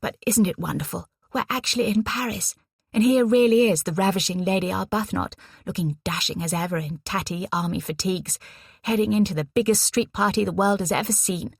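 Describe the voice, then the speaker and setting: A woman voicing a bubbly, cheeky young wartime nurse who talks briskly and teases her friend. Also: fairly low pitch, creaky, husky, dry recording, clean studio sound. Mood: exuberant. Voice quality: brisk, teasing, exuberant.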